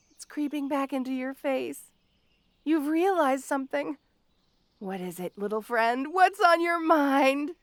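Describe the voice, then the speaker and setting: High-voiced, gloating female supervillain. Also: a bit bright, no echo, full character voice. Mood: mocking amusement. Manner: taunting, mock-sweet, drawn-out teasing delivery. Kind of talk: theatrical villain monologue, audio roleplay.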